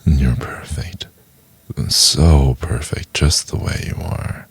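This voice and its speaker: A man acts out an obsessive, tender yandere boyfriend in an ASMR roleplay. The voice is extremely low and breathy with a gravelly crackle, coaxing slowly and intimately. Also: no echo, full character voice. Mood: adoring, tender. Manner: slow, coaxing, intimate, lingering on words.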